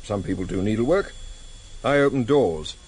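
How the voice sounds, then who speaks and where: An actor in a vintage radio drama playing a cheeky, probing British intelligence agent, dry and teasing, with a British accent. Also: male voice, fairly low pitch, animated, normal speed, a little mellow, breathy edge. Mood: wry, teasing. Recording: slightly noisy vintage radio recording.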